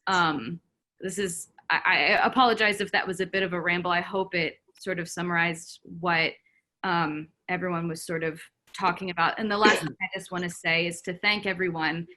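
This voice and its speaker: A woman giving spontaneous, reflective remarks on a video call, haltingly thinking out loud. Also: fairly low pitch, fairly even intonation. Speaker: audience discussion participant.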